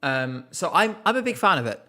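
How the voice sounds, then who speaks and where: A man's voice: a little high, sing-song, brisk, pushed and bright. A man hosting a casual live stream, chatting in a rambling way with lots of fillers and a slight cough. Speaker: livestream host.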